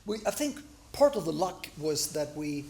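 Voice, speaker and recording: A man hosting a champagne interview over lunch in a restaurant, relaxed and agreeable, with many "yeah"s. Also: fairly high pitch, normal speed, gravelly. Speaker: host, champagne club organizer. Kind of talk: casual interview chat.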